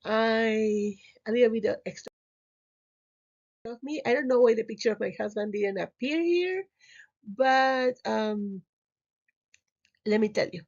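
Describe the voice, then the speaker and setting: High-voiced Peruvian woman teaching, muffled audio. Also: unhurried, long pauses, a little mellow. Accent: Peruvian Spanish. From Lima, Peru. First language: Spanish. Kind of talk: recorded slide lesson for students. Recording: slightly muffled, dull audio.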